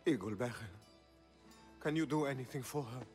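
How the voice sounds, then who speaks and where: A man's voice: medium pitch, moderate pace, a little mellow, natural voice. A male actor plays an anxious villager in a game cutscene with a mid-range voice. He is sober and respectful, with faint music behind. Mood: pleading, grave. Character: anxious villager tending to the sick. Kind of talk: video game cutscene acting.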